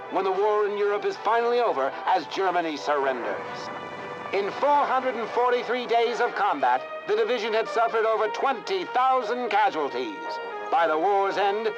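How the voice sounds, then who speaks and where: A high, declamatory man's voice narrates in proud 1940s newsreel style for a war video game. The delivery is stirring and solemn. The sound is dull and lo-fi, with loud music underneath.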